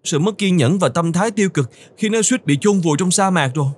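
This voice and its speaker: A man's voice: sits high, animated, fast, husky. A man voicing an anxious young male cartoon camel in a whiny, exclamatory, melodramatic way. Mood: regretful, grateful. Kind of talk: cartoon voice acting.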